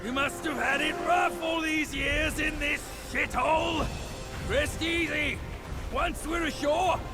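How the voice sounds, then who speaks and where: An actor playing a brash, impatient creature companion in a video game, blunt and teasing in manner. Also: male voice, very high voice, steady tone, slow pace, loud music behind the voice. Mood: fierce, rousing.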